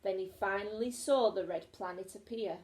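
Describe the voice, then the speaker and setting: A woman's voice: medium pitch, normal speed, darkish tone. A woman teacher reading a children's story aloud expressively, recorded in an echoey room.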